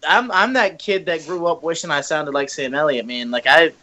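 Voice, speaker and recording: A man with a high-pitched, light voice, a guest musician in a casual podcast chat, joking profanely and mocking himself. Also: normal speed, sharp tone.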